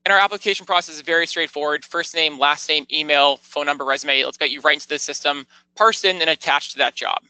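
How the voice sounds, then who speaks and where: A man narrating a product tour as a software demonstrator, explaining things step by step in a conversational, informal way. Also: on the high side, quick pace, few pauses, clean tone, dry recording, rough recording.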